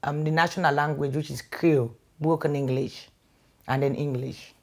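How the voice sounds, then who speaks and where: A woman's voice: low-pitched, fairly even intonation, moderate pace, a little mellow. A woman, a documentary interviewee explaining her family's languages in a matter-of-fact way. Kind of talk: explaining to camera.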